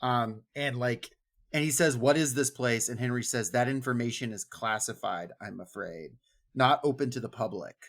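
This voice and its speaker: Informal male podcast host, medium-pitched voice. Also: normal speed, vocal fry, fairly bright tone. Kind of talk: casual book discussion.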